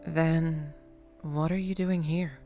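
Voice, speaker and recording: Female Grim Reaper for horror-comedy audio drama, acted by a woman: lowish, measured, dryly formal, music underneath. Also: fairly even intonation, warm tone. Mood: dry, questioning.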